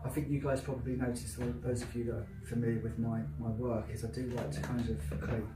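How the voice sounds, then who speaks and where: A man's voice: medium pitch, level tone, fast talker. Cockney man reciting poetry at a live event. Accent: South London Cockney. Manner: rhythmic, emphatic recitation; loose chatty intro full of fillers. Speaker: poet. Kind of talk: live poetry reading with spoken introduction. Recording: roomy, distant, noisy live venue.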